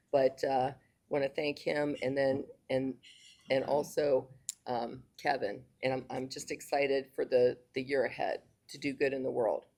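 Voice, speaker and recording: A woman's voice: extremely low pitch, gravelly, a little mellow. A woman serving as district governor, giving a speech at a club meeting, hesitant with many fillers and restarts, and losing her voice.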